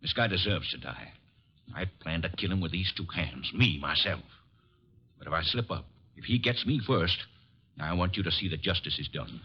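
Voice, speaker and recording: A man's voice: deep voice, expressive, normal speed, slightly rough. An American actor playing a big, stubborn man bent on revenge in a 1940s radio drama. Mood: grim defiance. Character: big bearded vengeful man, grim and stubborn. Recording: muffled vintage radio recording.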